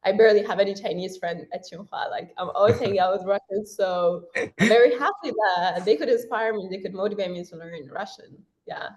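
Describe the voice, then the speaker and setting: A woman's voice: mid-range voice, darkish tone, muffled recording. A young woman whose first language is Persian, a university student talking frankly as a guest in a casual interview. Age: young adult. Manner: chatty and frank, with frequent "like" and quick self-corrections.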